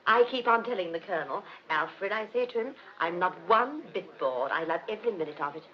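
An actress as a gushing colonel's wife, lowish voice. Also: female voice, lively intonation, fairly quick, natural voice. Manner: chatty, earnestly effusive. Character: gushing, dutiful colonel's wife, eagerly stoic. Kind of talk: 1950s British film acting. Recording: muffled old film soundtrack.

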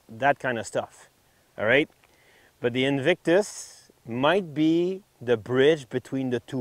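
A middle-aged man hosts an outdoor product test on the slopes. He talks casually, with an ordinary mid-range voice. His phrases come in short, halting pieces. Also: medium pitch, no echo. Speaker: ski test host. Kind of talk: casual product review and interview. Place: outdoors on a snowy ski slope.

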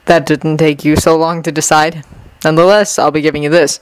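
A woman's voice: low voice, flat delivery, brisk, clean tone, no echo, pitched below the performer's own voice, lightly acted, poor audio. A woman voicing a spooky teenage club president, polite and ominous in manner. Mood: eerie, courteous.

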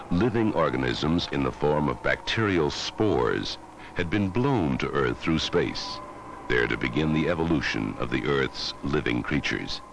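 A man narrating a scripted educational film in a measured, dramatic way, on a 1960s film soundtrack. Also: a bass voice, creaky, noisy background. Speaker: documentary narrator.

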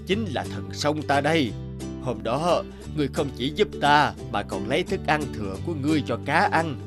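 A man voicing a wise, stern old river god in a cartoon, speaking slowly and deliberately. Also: a little high. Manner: slow, deliberate, pronouncing.

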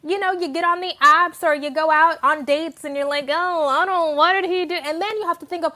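A woman in her thirties hosting a casual home conversation, animated and quick to agree. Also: very high voice. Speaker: host. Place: living room at home. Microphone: wired earbud mic.

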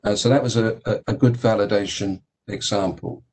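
A man giving a technical keynote talk about engineering, speaking in a halting way with many fillers and repeated words. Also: on the low side, steady tone, a little mellow, a little hiss or hum. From United Kingdom. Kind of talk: technical conference presentation.

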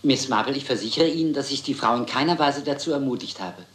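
An actor playing a timid, courteous older bachelor sidekick in a German crime radio play, formal and easily flustered. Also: male voice, mid-range voice, fast, roomy sound, soft background music, lightly acted.

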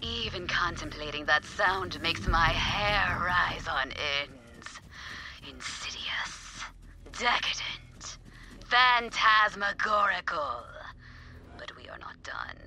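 Melodramatic gothic DJ, swooping slowly. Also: female voice, fairly low pitch, animated, creaky, sharp tone, slight background noise, full character voice. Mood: eerie awe. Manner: theatrical, drawn-out, archaic flowery speech. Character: melodramatic, morbid, gothic female DJ relishing dread. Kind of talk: scripted video game character dialogue. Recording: music behind the voice.

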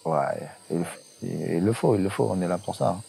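Excitable joking man, low voice. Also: brisk, creaky, low-quality recording. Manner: excitable, slangy, jokey. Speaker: reality show contestant. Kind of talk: casual banter and confessional. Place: outdoors in a jungle.

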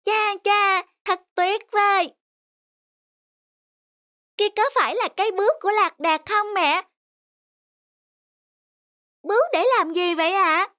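A woman's voice: extremely high pitch, fairly even intonation, a little slow, pauses often, sharp tone, dry recording, studio quality, full character voice. A woman voicing a curious small child in a cartoon, full of questions and exclamations. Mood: amazed, curious. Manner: inquisitive, exclaiming. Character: curious, wide-eyed small child at the zoo. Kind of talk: animated cartoon dubbing.

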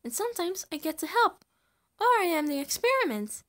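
Tiny clingy void monster done by a girl, super high swoopy voice, childish, comic dub. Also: big pitch swings, a little fast, fairly bright tone, heavily acted. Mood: excited, eager. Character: small childlike void creature, eager, clingy, naive.

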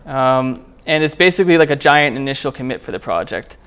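A man presenting at a programming meetup in a casual, conversational, somewhat halting way as he walks through a live demo. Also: medium pitch, animated, a bit bright, clear, resonant tone. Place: indoor meetup room, speaking before a small group.

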